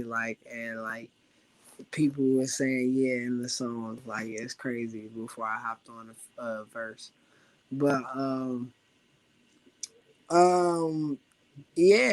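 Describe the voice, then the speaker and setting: A man from Washington, D.C. with a raspy voice, talking about his music as a guest in a casual, laid-back interview full of filler phrases. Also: a little high, dry recording, low-quality recording. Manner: laid-back, loose, full of "you know what I'm saying". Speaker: rapper guest.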